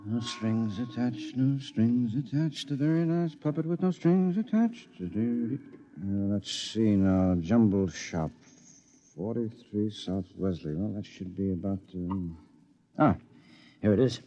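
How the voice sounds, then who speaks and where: Radio drama husband: evasive, impatient, hesitant, slightly low voice with animated swings. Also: male voice, slow, dull audio. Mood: musing. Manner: hesitant, stammering, brusque. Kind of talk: old-time radio drama acting.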